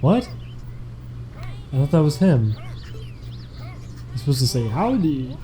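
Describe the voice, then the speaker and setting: A young man chatting casually on a game stream, reading out donation messages in a relaxed, meandering way. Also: mid-range voice, slow, a little hiss or hum.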